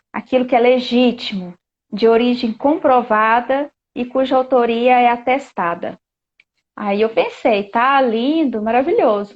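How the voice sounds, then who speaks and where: A Brazilian woman hosting a live online chat, talking casually with plenty of fillers. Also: on the high side. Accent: Brazilian Portuguese. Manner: chatty, reads viewer comments aloud, many fillers. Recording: dull lo-fi live-stream audio.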